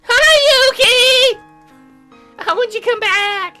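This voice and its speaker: Woman acting a bright, lively teasing girl classmate. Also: fairly high pitch, normal speed, faint music behind. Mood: cheery. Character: upbeat, teasing young female classmate. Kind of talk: visual novel character dialogue.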